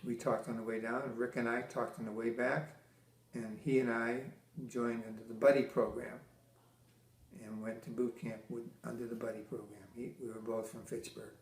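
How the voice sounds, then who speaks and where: Older man slowly recalling, echoey room. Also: medium pitch, flat delivery. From Massachusetts. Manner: halting, many restarts and fillers, dry teasing humor. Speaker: veteran interviewee. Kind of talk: oral history interview.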